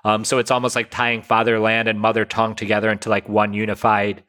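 Bright-voiced man in his late thirties. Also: on the low side, monotone, fast. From United States. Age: late 30s. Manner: thoughtful, explanatory. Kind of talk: casual chat.

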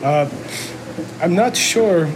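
Slow-talking male host over loud noise. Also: high voice, lively intonation. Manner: rambling, repetitive. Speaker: show host. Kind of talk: casual, unscripted show chat.

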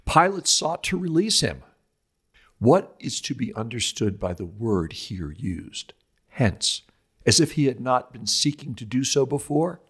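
A man reading an old sermon aloud as a scripted religious commentary, with deliberate, emphatic oratorical phrasing. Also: mid-range voice, fairly bright tone, breathy edge.

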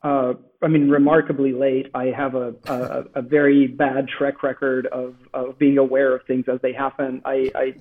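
A man's voice: medium pitch, level tone, normal speed, hardly any pauses. A man from Italy with an Italian accent, a novelist answering interview questions hesitantly, with many fillers and restarts, on a phone line. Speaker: novelist guest. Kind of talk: casual interview talk. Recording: gravelly phone-line call.

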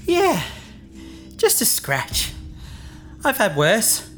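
An actress playing a wry space adventurer who is fatally wounded, weak and drowsy, speaking in halting understatement in a sci-fi audio drama. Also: female voice, fairly high pitch, huge pitch swings, soft background music, exaggerated voice. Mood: wry, strained. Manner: faint, halting, dryly understated.

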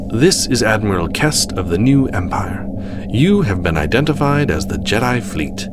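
Man voicing a crisp, menacing imperial admiral, raised pitch. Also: big pitch swings, normal speed, very noisy. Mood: cold, menacing. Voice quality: crisp, clear.

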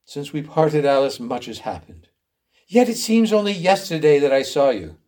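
A man's voice: fairly high pitch, sing-song, moderate pace, a little mellow. A man playing a wretched, remorseful man with theatrical, melodramatic delivery in a story reading. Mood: sorrowful. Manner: theatrical, anguished declaiming.

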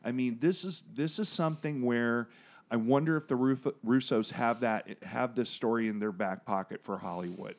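A man's voice: mid-range voice, moderate pace. A man co-hosting a casual podcast, rambling through digressions with lots of fillers and jokey, profane asides. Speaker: podcast co-host. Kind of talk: casual podcast chat about comics.

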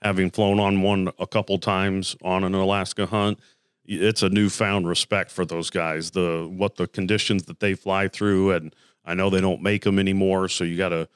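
Deep, smooth-voiced male podcast host. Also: little pitch movement, normal speed, dry recording, clean studio sound. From Arizona. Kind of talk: casual interview.

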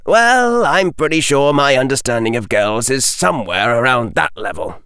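A clever, wry young boy wizard from a storybook, played by a male reader. He talks in long articulate sentences, pitched up and very animated. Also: moderate pace, smooth, no room sound. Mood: self-deprecating. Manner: articulate, wordy, dry-witted.

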